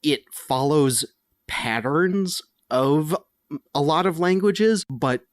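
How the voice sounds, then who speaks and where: A man's voice: on the high side, slow, no room sound. Young man, podcast co-host, casual, easygoing, crude humor, dry close sound. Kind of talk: casual chat.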